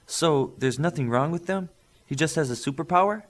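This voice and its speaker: A man's voice: on the high side, normal speed, pitched above the performer's own voice, natural voice. A man reading the part of a courteous, protective father with an emphatic, questioning manner in an audiobook. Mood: relieved.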